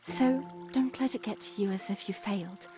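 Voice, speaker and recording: A woman voicing a young, warm and determined princess in an audio drama, with a gentle, reassuring delivery. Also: medium pitch, normal speed, faint music behind, natural voice. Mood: comforting. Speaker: voice actor.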